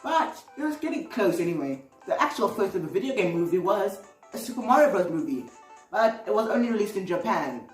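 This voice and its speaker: A woman's voice: medium pitch, big pitch swings, brisk, recorded from a distance. Female video host, rambling and exclamatory, recorded off-mic in an echoey room with music underneath. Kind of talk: casual opinionated video commentary.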